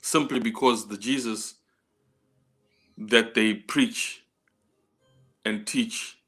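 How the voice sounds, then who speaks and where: Slow, deliberate man in discussion. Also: fairly low pitch, fairly even intonation, fairly bright tone, soft background music. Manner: deliberate, halting with repeated words. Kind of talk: measured discussion.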